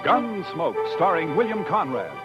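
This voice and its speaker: A man's voice: fairly high pitch, sing-song, measured pace, loud music behind the voice. An American man announcing in a dramatic, emphatic, scripted 1950s radio style. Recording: muffled old radio recording.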